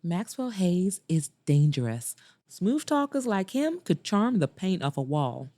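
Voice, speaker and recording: A woman's voice: lowish voice, sing-song, mellow. A woman voicing a knowing, teasing female best friend in an audiobook romance, drawing out her words with a smirk. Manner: teasing, smirking, drawn-out delivery.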